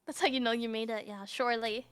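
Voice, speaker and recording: A woman's voice: fairly high pitch, steady tone, fast, a bit bright, smooth. A woman guest in a casual chat, laughing often and rambling in slangy speech. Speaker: guest streamer.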